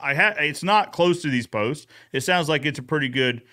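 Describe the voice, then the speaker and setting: Male co-host, voice a touch high, informal storyteller in a casual episode recap chat. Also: animated, moderate pace, sharp tone. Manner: informal, retells characters' dialogue.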